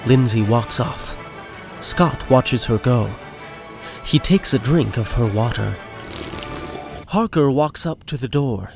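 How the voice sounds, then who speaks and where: A man's voice: medium pitch, lively intonation, slow pace, gravelly, long pauses. A man reading scripted narration for an audio drama. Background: music.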